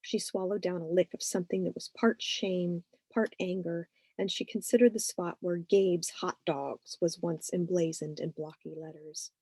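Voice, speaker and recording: A woman author reading her novel aloud as expressive scripted narration. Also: on the low side, normal speed, few pauses, breathy edge, no room sound.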